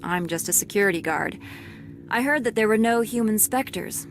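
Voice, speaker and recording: An actress as a weary, fair-minded female security captain in a sci-fi game. She speaks formally and dryly in a medium-pitched voice, with music clearly behind her. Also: animated, lightly acted. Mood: dry, matter-of-fact. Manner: formal, measured, dry. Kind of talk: scripted video game acting.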